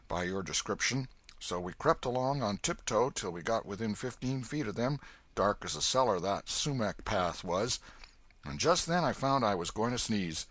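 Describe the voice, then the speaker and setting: Bir adamın oynadığı gür sesli, iyi yürekli yaşlı çiftçi. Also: male voice, on the low side, no room sound. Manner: loud, hearty and commanding. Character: hearty old Welsh farmer, bluff and kindly.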